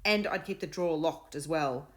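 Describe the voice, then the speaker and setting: A woman voicing a sharp-tongued schoolgirl who scolds and warns bluntly. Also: sits low, normal speed, close to an everyday voice. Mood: warning.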